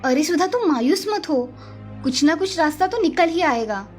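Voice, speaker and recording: A woman narrator plays a kind village wife in a moral tale, high-pitched and caring. She talks reassuringly, with music underneath. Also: lively intonation, normal speed, a little mellow. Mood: caring, earnest. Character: kind, helpful young married village woman.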